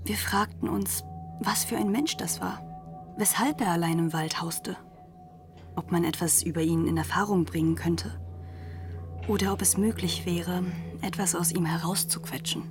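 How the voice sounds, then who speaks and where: Woman as haunted narrator, hushed, deliberate, literary radio drama, faint music behind. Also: lowish voice, natural voice. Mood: brooding. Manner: hushed, deliberate, often half-whispered.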